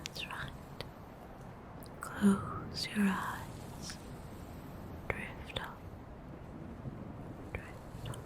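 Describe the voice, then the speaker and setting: A woman playing a tender, gently possessive vampire noble in an ASMR roleplay, coaxing and reassuring in a soft breathy whisper. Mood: tender, soothing. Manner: slow, hushed, coaxing and reassuring.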